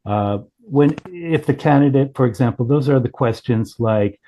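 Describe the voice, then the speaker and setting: A man's voice: mid-range voice, moderate pace, warm tone, rough recording. A man giving an informal product presentation as a company founder, explaining things with frequent self-corrections.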